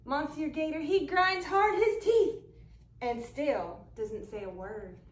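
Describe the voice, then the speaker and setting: A woman reads a children's storybook aloud, slow and expressive, with Cajun-flavored turns of phrase. Her voice sits very high, and she lingers on each line. The room adds a clear echo. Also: distant mic. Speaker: storybook reader. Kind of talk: animated read-aloud for children.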